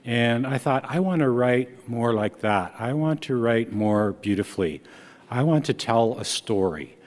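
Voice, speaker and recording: A man's voice: mid-range voice, lively intonation, long reverb tail. A man in his sixties from Ontario, Canada, whose first language is Dutch, giving a conversational author talk with frequent restarts. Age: 60s. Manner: conversational, halting with repeated restarts. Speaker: author and speaker. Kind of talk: book reading talk.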